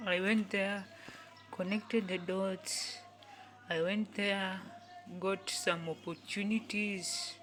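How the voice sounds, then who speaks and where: Slow, earnest young woman. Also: mid-range voice, fairly even intonation, a bit bright, faint music behind. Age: 20s. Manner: repeats each phrase several times, earnest and pleading. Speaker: youth speaker. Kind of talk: repetitive motivational talk.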